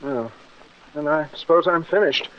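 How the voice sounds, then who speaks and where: A man's voice: a little high, moderate pace, lightly acted. An actor playing a meek, polite village doctor in his thirties, henpecked and hesitant, in a 1940s radio drama. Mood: meek, resigned. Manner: hesitant, apologetic, politely submissive. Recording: dull 1940s radio recording.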